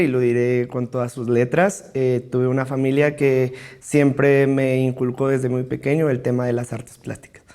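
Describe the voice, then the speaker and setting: A man from Mexico City with a central Mexican accent, answering interview questions in a formal, deliberate, explanatory way, often ending phrases with a questioning tag. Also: mid-range voice, a little mellow. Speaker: interviewee, cultural rights advocate and political adviser.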